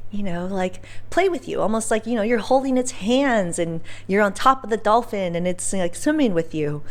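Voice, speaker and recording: A woman speaks as a podcast host in a mid-range voice. She tells personal stories casually, with a candid, emphatic delivery and plenty of informal, slangy turns of phrase. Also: medium pitch.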